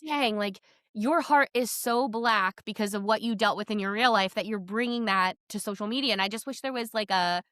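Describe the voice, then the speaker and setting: Woman, podcast host, bright crisp-edged tone, casual, chatty, emphatic, dry recording. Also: medium pitch, fairly quick, no room sound. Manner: chatty, emphatic, peppers talk with "like".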